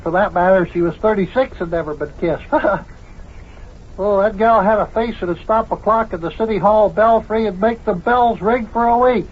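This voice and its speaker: An actor playing a folksy, rambling tall-tale spinner and joker in an old-time radio comedy. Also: male voice, sits high, normal speed, slightly rough. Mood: rambling, amused. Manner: drawling, rambling, folksy ungrammatical speech. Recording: dull, lo-fi old radio recording.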